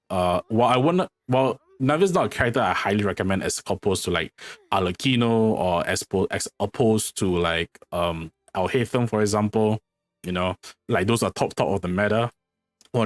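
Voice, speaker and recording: A man hosting a gaming channel, talking through a game guide in a casual, rambling way with frequent self-corrections. His voice is a little hoarse because he is losing it. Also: on the low side, dry recording.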